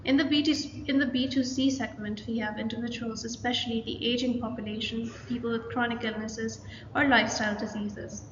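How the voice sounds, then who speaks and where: A young woman, a student presenter, reading a scripted presentation in an even, list-like way over a laptop webcam in a small room. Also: a little high, brisk. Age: young adult. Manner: even, read-aloud delivery with list-like pacing. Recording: lo-fi, echoey room with hiss.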